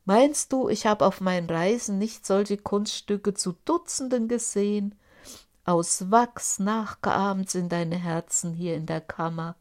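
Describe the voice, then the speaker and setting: Bold, accusing young man, woman reading, slow and firm. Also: medium pitch, a little mellow, lightly acted. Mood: scoffing. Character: young male charcoal burner, defiant and sly. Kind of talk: audiobook character voice.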